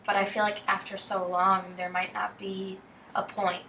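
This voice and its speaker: A young woman speaks softly and hesitantly, with a bright edge to her voice. She sits away from the mic in an echoey room, with faint hiss behind her. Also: mid-range voice, level tone, moderate pace, gravelly, distant mic. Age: young adult. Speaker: student athlete. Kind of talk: casual conversation.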